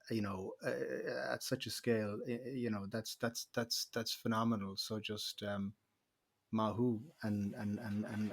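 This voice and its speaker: Male podcast host, mid-range voice, halting, repeats words over and over. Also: medium pitch. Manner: stumbling, repeats words many times. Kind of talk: podcast interview.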